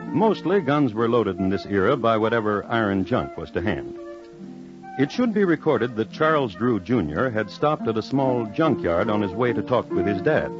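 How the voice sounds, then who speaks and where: A man's voice: on the low side, big pitch swings, moderate pace. A man narrating a scripted old-time radio crime story in a droll, formal way. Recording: muffled vintage radio recording. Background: dramatic music.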